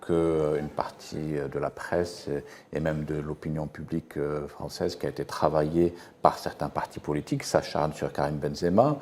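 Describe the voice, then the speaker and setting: A man on a television studio panel with a deep, dark, mellow voice. He lays out his points in a measured way, pausing on fillers as he adds detail. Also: slight background noise. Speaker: panel guest. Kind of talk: studio talk show discussion.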